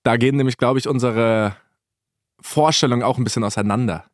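A man's voice: medium pitch, a little fast, dry recording, clean studio sound. A man in his early twenties talking casually as a podcast co-host, in colloquial speech sprinkled with English loanwords. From Germany. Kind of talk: casual conversational podcast chat.

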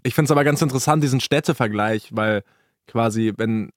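A man's voice: mid-range voice, brisk, no echo, studio quality. A man in his early twenties talking casually as a podcast co-host, in colloquial speech sprinkled with English loanwords. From Germany. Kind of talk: casual conversational podcast chat.

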